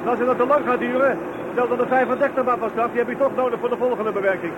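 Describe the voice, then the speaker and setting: Tinny sound under heavy noise, gruff impatient shop-floor boss, irritable, unsympathetic, radio drama. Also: male voice, very high voice, fairly even intonation, quick pace. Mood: brisk, ordering. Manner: brusque, irritable. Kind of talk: Dutch radio play acting. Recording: tinny, band-limited sound with loud noise.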